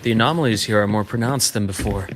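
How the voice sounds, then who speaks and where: A young man playing a calm, observant anime game adventurer with a measured, matter-of-fact delivery. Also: fairly low pitch, background noise, close to an everyday voice. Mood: alert.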